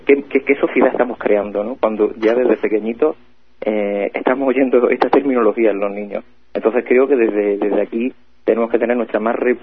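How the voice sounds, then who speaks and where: A man's voice: lowish voice, a little hiss or hum. A man with a Spanish accent from Spain, a guest speaking earnestly and hesitantly, often repeating words. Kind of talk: earnest commentary. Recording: husky voice over phone line.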